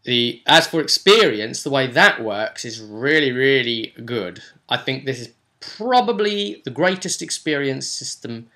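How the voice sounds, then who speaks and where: Bright, forceful man hosting a hobby vlog. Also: mid-range voice, expressive, measured pace. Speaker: host and game master. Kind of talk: casual vlog talk about a tabletop role-playing game.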